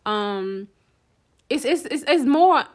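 A woman's voice: high voice, animated, takes it slowly. A woman co-hosting a casual talk show, speaking in an encouraging, pep-talk way. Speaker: podcast co-host. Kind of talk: casual chat.

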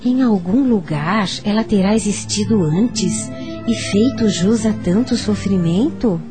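A woman's voice: mid-range voice, a little slow, music in the background, natural voice. An actress playing an anguished, questioning mother in a Brazilian radio soap, pleading dramatically with a Brazilian accent. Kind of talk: radio soap opera acting.

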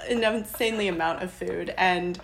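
A woman's voice: on the low side, gravelly, fairly bright tone, slight background noise, rough recording. A young adult woman from Los Angeles, a podcast guest chatting casually and laughing often. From Los Angeles, USA. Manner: bubbly, laughs often, quick restarts. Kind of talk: casual interview chat.

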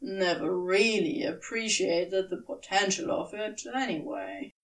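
A woman narrating scripted fiction in a measured, expressive storytelling manner. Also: a little high, far from the mic, poor audio. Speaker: audiobook narrator.

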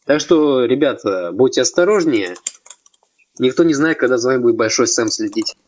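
A man's voice: mid-range voice, expressive, moderate pace, a little mellow. A man of twenty on a casual podcast, explaining things step by step. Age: 20s. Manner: explanatory, lays out points step by step. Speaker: podcast co-host.

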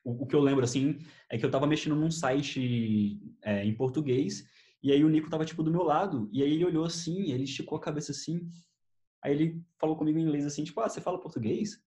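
A young man with a Brazilian accent chatting casually and telling stories in an animated, slangy way. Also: a little high, fast talker. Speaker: host. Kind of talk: casual chat, telling memories.

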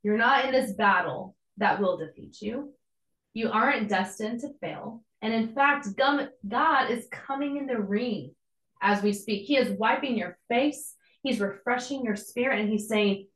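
Slightly hoarse young woman preaching. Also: mid-range voice, measured pace, a bit bright, roomy sound, low-quality recording. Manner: encouraging, conversational preaching with casual asides. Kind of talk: devotional sermon.

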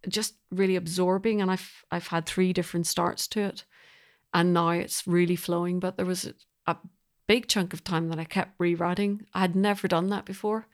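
Woman with a lowish voice, thoughtful interview chat, kind of working out her sentences as she goes. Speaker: composer, interview guest. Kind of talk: reflective interview conversation.

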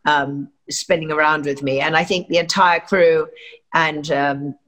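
Older woman, actress, from England, low pitch, chatty, rambling, lots of fillers. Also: fairly even intonation, a little slow, fairly bright tone. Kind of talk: interview answers.

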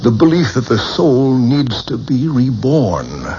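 An actor playing a composed, learned expert on reincarnation in a 1970s radio drama, explaining things in a measured, patronizing, professorial way. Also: male voice, mid-range voice, very animated, warm tone. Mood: measured, lecturing. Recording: muffled, noisy 1970s radio broadcast.

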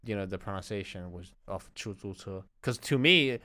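Relaxed man, mid-pitched, hesitant speech. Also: normal speed. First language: a Chinese dialect other than Mandarin. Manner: relaxed, hesitant English with fillers, chuckles often. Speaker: co-host of a language lesson. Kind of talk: casual bilingual lesson chat.

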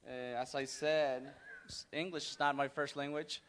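A middle-aged man from Mexico with a Mexican accent, speaking plainly and a little haltingly as a missionary guest in an informal church interview. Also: medium pitch, little pitch movement, fairly bright tone, clean tone.

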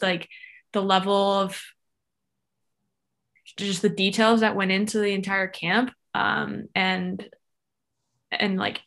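A woman's voice: mid-range voice, gravelly, fairly bright tone. A young woman from Florida, a former soccer player, telling her story in a chatty, filler-heavy way as a guest. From Florida, USA. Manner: chatty, lots of fillers and likes, laughs easily. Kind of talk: casual interview chat.

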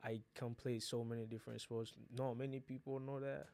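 Laid-back gravelly man from Ghana. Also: medium pitch, fairly quick. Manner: relaxed, laid-back, many "you know" fillers. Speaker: podcast guest, soccer player. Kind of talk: casual interview chat.

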